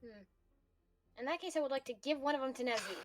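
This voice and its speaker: Very high-voiced woman chatting over a game. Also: quick pace, music in the background. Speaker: player in a tabletop game. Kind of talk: casual gaming chat.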